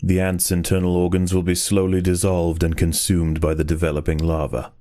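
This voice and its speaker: A man performing a solemn nature documentary narrator for a game, measured and ominous in delivery. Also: low-pitched, completely flat delivery, mellow, no room sound, studio quality. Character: grave nature documentary narrator of ant wars.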